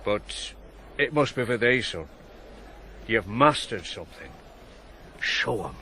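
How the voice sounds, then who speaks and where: An actor playing a kindly older farmer, gentle and plain-spoken, in a radio drama. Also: male voice, fairly low pitch, lively intonation, slow pace, a little hiss or hum, exaggerated voice. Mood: gently encouraging. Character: kindly old farmer encouraging his young ploughman. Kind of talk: naturalistic radio drama acting.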